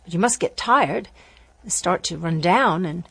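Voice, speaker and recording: A young actress playing a rebellious, impassioned daughter in a radio drama. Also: female voice, fairly low pitch, a little slow, creaky, a bit bright, slightly rough, exaggerated voice. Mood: earnest. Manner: emotional, defiant, impassioned.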